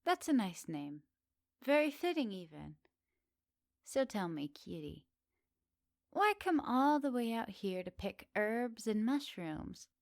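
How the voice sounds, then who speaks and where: A flirty witch of the woods, played by a woman, speaks straight to the listener in an ASMR roleplay. She is unhurried, teasing and gently doting, with quaint good manners. The sound is dry and intimate. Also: a little high, sing-song, gravelly, no echo, full character voice. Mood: curious, teasing. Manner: teasing, gently doting, slightly old-fashioned politeness.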